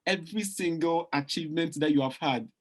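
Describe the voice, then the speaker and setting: A man with a voice on the higher side speaks as a panelist on an online call. He is emphatic and repeats phrases to drive each point home. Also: a little fast.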